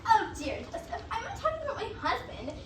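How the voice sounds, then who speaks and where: A woman acting a very high-pitched flirtatious palace wife. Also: huge pitch swings, quick pace, exaggerated voice. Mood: coy, flirty. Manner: coy, giggling, overdramatic wheedling. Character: flirtatious, scheming married woman of the palace, demanding and lying. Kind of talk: amateur reader's theatre performance. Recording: roomy, distant, noisy recording.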